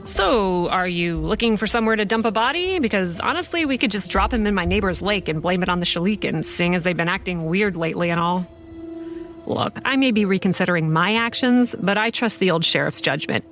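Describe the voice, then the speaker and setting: A woman's voice: medium pitch, expressive, a little fast, a bit bright, soft background music. A woman playing a haunted, sardonic young campground manager, telling her horror story in a confiding, wry first person. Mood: wry, tense.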